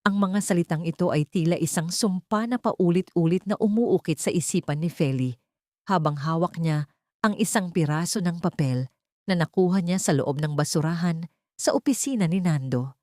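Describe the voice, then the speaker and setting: Casting: female Filipino storyteller for dramatic scripted narration, low register, very dry close recording. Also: moderate pace, warm tone, no echo.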